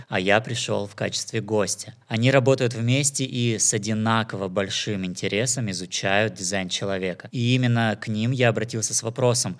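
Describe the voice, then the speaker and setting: A man presenting in smooth, even, scripted narration. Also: on the low side.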